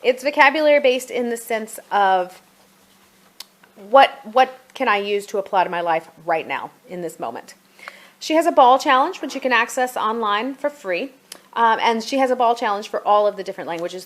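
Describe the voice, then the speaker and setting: A woman's voice: medium pitch, fairly bright tone. A woman giving a casual product review as a homeschooling mother, chatty and demonstrative, thinking aloud as she goes.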